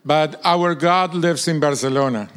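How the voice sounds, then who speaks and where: A man from Argentina speaks English, his voice smooth and clear. He is a guest pastor, relaxed and joking, halting now and then as he searches for words. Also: a little high. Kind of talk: sermon with personal stories.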